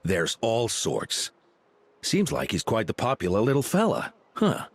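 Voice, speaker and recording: A man's voice: medium pitch, sing-song, unhurried, gravelly, no room sound, full character voice. A man playing a principled, friendly warrior chief in a video game, with a firm, assured delivery.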